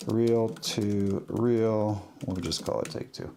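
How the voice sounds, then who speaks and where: A man narrating his work casually as he goes, step by step, in short, halting phrases. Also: fairly low pitch, steady tone, mellow, a little hiss or hum. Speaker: livestreaming audio producer.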